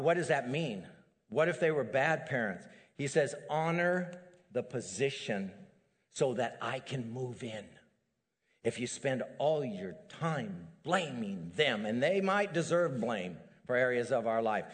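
A middle-aged man preaching a sermon as a pastor, animated and building to emphatic peaks. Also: medium pitch. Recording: long hall reverb.

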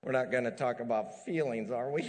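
A middle-aged man preaching a sermon as a pastor, animated and building to emphatic peaks. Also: mid-range voice, moderate pace, heavy background noise. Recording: long hall reverb.

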